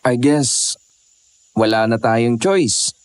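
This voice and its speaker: A man's voice: medium pitch, lively intonation, slow, clear, resonant tone. A man playing a polite, mildly skeptical young climber in horror audio drama dialogue. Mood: polite, resigned.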